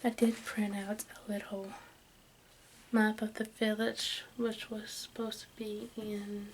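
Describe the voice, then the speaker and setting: Slow, whispering woman reading ASMR. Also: medium pitch. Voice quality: breathy unvoiced whisper. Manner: halting, stumbling read-aloud delivery. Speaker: host of an ASMR channel. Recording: steady background noise, room ambience.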